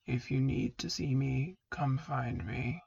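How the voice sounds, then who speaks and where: Stern male dark lord for audiobook, played by a woman: heavily creaking voice, commanding, terse, formal, slightly dull recording. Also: extremely low pitch, voice pushed down, full character voice. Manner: formal, clipped, deliberate. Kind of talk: fan fiction audiobook reading.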